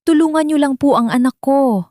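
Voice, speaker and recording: A woman playing a desperate, humble poor mother who begs with drawn-out, imploring pleas in an audio drama. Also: high-pitched, warm tone, no echo, studio quality, voice pushed up, natural voice. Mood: desperate pleading. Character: desperate, pleading poor mother begging for help.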